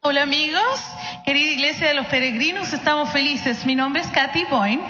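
The enthusiastic woman singer who takes the church microphone to urge everyone on, high-pitched and echoing through the sanctuary. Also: brisk, pushed and bright, faint music behind. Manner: enthusiastic, earnest. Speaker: singer in a gospel vocal group. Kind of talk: exhorting greeting to a church congregation. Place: church hall, amplified to a congregation. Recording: echoey hall, slightly muffled.

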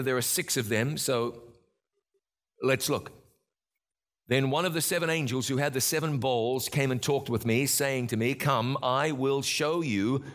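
A man's voice: fairly high pitch, a bit bright. A man hosting a Bible program, teaching in an earnest sermon style with emphatic repetition.

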